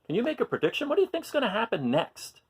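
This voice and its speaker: Male children's storyteller, very lively pitch, expressive read-aloud style, talks directly to kids with simple questions and explanations. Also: fast, husky.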